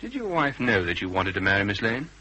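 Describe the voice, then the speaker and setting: A man playing a shrewd British gentleman detective in a radio drama, questioning people in a measured, clipped, polite and persistent way. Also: fairly low pitch, huge pitch swings, husky, full character voice, poor audio. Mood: cool, probing.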